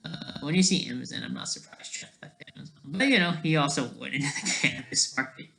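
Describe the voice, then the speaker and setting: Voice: mid-range voice, animated, fast, vocal fry, fairly bright tone, low-quality recording. A person giving rambling, off-the-cuff commentary as an amateur creator, often breaking off mid-sentence and tagging statements with "right?".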